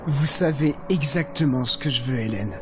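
A man's voice: mid-range voice, moderate pace, music in the background, close to an everyday voice. A man playing a smug, menacing novelist in an audio drama, speaking formally and condescendingly. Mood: threatening. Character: smug, menacing male novelist blackmailing his editor. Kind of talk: French audio drama acting.

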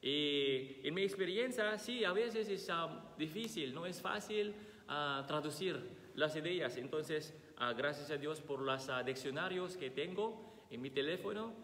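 A man in his thirties with a Filipino accent, a priest with a slight cold, reading the Gospel and preaching slowly with many pauses and "ah" fillers. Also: a little high, animated, fairly bright tone, a little hiss or hum. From Philippines. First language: Tagalog. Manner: slow and halting, many "ah" fillers while finding words. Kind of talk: Gospel reading and homily at Mass. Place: large church, seated at altar. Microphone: fixed camera across the altar. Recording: echoey church with long reverb.

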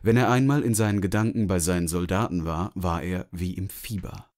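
A man's voice: deep voice, brisk, darkish tone. A man giving scripted literary narration as the narrator of a story.

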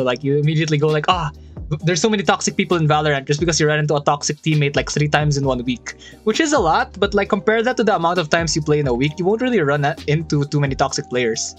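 Laughing young man. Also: a little high. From Philippines. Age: young adult. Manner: joking, laughs often, animated hand gestures. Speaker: channel host reading comments. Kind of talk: casual chat. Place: small room with a curtain behind. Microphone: headset and desk mic.

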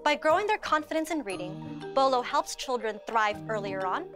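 A young woman presents in a fairly high, articulate voice. Her delivery is polished and scripted, like a host addressing the camera, with music clearly playing underneath. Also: lively intonation, normal speed. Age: young adult.

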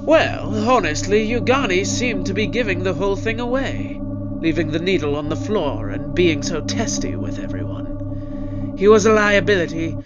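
Resolute alien time-traveler played by a man for audio drama: high-pitched, grandly moralizing, slightly dull recording. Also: expressive, normal speed, over loud music. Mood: explanatory.

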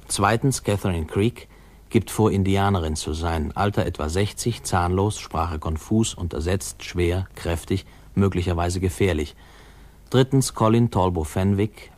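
A man playing a reflective narrator who wryly recalls his boyhood in a 1950s radio play, with musing pauses. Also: deep voice, darkish tone. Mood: dry, official. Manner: wry, musing, pausing.

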